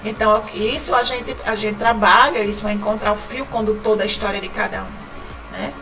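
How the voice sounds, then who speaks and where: A woman's voice: medium pitch, steady tone, normal speed, recorded from a distance, over music. A woman from Northeast Brazil with a northeastern Brazilian accent, a podcast guest chatting casually, laughing often and repeating words as she talks. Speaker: guest, writing company founder.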